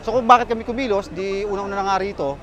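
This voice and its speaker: A man's voice: high voice, steady tone, very fast, fairly bright tone, some chatter behind. A Filipino man, a union worker, answering in a street interview. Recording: noisy street with vehicles.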